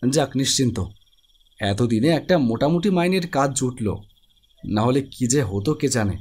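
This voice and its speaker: A man's voice: medium pitch, expressive, moderate pace, darkish tone, lightly acted. A man voicing an anxious young man in a horror cartoon, with colloquial, grumbling speech. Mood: tired, relieved. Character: anxious young man searching for missing friend. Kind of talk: Bengali cartoon horror story voice acting.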